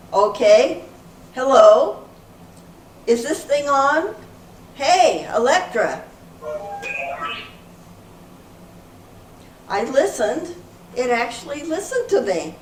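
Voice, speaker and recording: A woman's voice: sits high, big pitch swings, takes it slowly, long pauses, heavily acted. Woman as an eager group leader, echoey room. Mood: flustered, insistent. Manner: earnest, presenting. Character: eager, easily flustered female group leader. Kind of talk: amateur readers theater. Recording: echoey room from far off, faint noise.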